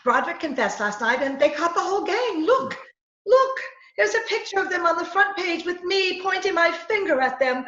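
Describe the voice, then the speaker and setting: A woman playing an excitable, headstrong young reporter in an old-time radio comedy-mystery, with theatrical, breathless exclamations. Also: very high-pitched, moderate pace, heavily acted. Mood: excited. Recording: echoey, muffled home video-call recording.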